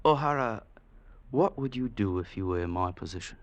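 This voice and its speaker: An actor in 1940s radio style playing a cold, commanding general who speaks formally and deliberately, full of suspicion. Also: male voice, lowish voice, expressive, dull audio, lightly acted. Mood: cold menace. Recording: old radio drama recording.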